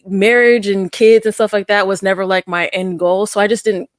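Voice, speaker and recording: A woman co-hosting a casual chat podcast, rambling and animated, jumping into high-pitched voices when quoting herself. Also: moderate pace, no echo, clean studio sound. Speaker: podcast co-host.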